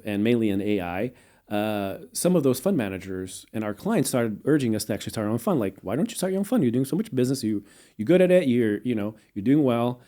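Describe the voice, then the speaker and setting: Guest man, low and mellow, measured and halting, raised in Portugal. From United States, raised in Portugal. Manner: measured, many fillers and restarts. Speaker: guest, venture capitalist. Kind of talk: casual interview answers.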